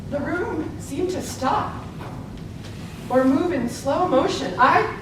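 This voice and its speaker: A woman playing an earnest, determined young newspaper reporter of the suffrage era in a staged reading, speaking deliberately and emphatically. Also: fairly bright tone. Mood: reflective, inspired. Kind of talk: live staged play reading. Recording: echoey hall from far off, noisy rumble.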